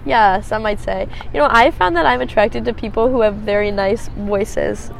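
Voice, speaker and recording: A young woman with a slightly raspy voice, co-hosting a casual podcast chat, giggly, blunt and crude. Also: medium pitch, moderate pace, a little hiss or hum. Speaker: podcast co-host.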